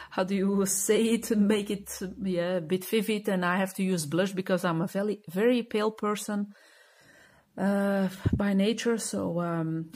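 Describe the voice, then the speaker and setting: Slow, hesitant Flemish woman. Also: mid-range voice, darkish tone. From Belgium. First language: Dutch (Flemish). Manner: hesitant, many fillers and restarts, searching for words. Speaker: coloring channel host. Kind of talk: casual chat to camera.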